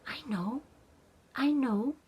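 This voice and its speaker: A woman voicing an earnest, excitable little boy in a children's story, speaking insistently. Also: sits high, slow pace, breathy edge, pitched above the performer's own voice, full character voice. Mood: worried, upset. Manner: insistent, emphatic.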